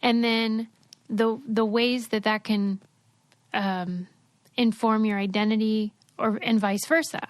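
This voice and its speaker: A woman's voice: on the high side, fairly even intonation, slow, no room sound. A young woman co-hosting a podcast, telling stories in a chatty, emphatic way. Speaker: podcast co-host. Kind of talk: casual chat with a read ad.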